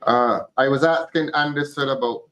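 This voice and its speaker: A man hosts a live talk show, with a voice of ordinary middle pitch. He asks curious questions and adds playful asides. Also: moderate pace, fairly bright tone. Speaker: host.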